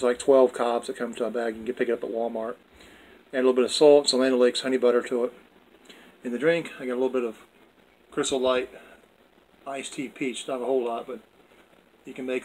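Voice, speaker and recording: A man's voice: mid-range voice, a little mellow, low-quality recording. A man hosting a homemade cooking video, talking casually in a plainspoken, folksy way. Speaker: host of a home cooking video. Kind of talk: casual vlog narration.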